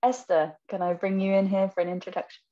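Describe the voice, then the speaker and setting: A woman's voice: mid-range voice, level tone, quick pace, highs cut off. A woman co-chairing a panel, informally inviting guests to introduce themselves. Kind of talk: informal moderating.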